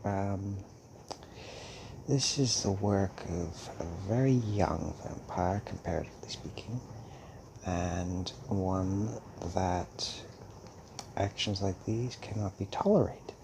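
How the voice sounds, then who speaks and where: Guy doing an old vampire mentor for audio roleplay, low creaky voice, calm n fatherly, lots of thoughtful pauses, bit of noise behind him. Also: full character voice. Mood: pensive, grave. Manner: hesitant, musing, speaks in pauses. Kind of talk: intimate audio roleplay addressed to listener.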